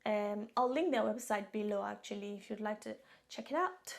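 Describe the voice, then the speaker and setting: A woman's voice: medium pitch, moderate pace, gravelly. Chatty Nigerian woman telling her story. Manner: chatty, laughs often, repeats herself. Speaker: vlogger. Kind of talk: casual storytelling to camera. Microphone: camera-mounted shotgun mic.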